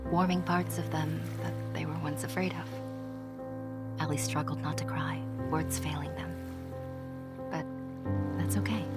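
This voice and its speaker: Woman as a proud little winged storyteller, music behind. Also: sits low. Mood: tender. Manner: expressive, self-important storytelling. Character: small winged secret agent, proud, earnest, feisty narrator. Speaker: narrator. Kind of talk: scripted audio drama narration.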